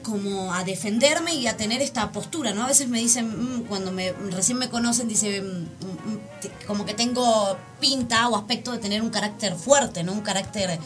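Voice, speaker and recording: A woman with an Argentine accent tinged with Mexican chats as a radio guest, talkative, lively and self-assured. Her pitch sits in the middle of the usual range. Clearly audible music plays behind her. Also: moderate pace, hardly any pauses. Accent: Argentine with some Mexican. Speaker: singer guest. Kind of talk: casual radio interview.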